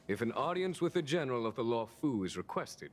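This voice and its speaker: A man playing a calm, unhurried general in a video game, speaking formally and with measured, wry authority. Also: mid-range voice, natural voice. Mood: musing, resigned. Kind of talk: scripted video game voice acting.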